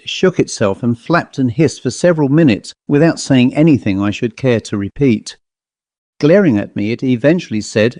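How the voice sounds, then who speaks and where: A man reading a ghost story as an audiobook narrator, in a measured, literary storytelling manner. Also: mid-range voice, darkish tone, dry recording.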